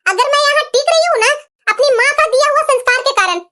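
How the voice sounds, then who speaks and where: A woman's voice: very high voice, animated, quick pace, sharp tone, heavily acted. A woman playing an outspoken, reproachful young daughter-in-law in a cartoon family drama, with a pleading, lecturing manner.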